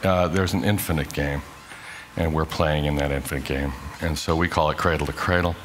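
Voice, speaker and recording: A middle-aged man delivers a prepared talk on design in a low, grounded voice. He lands his points with emphasis and poses rhetorical questions to the audience. A faint hiss runs under the recording. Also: fairly even intonation, brisk. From grew up in Hong Kong. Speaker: architect giving a talk. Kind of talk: prepared conference talk played in class.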